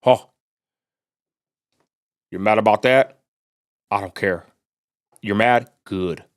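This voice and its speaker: A man's voice: lowish voice, slow. A man from Texas with a West Texas accent, hosting a livestream in a blunt, profane ranting style. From Texas, USA. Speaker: livestream host. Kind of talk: casual ranting livestream commentary.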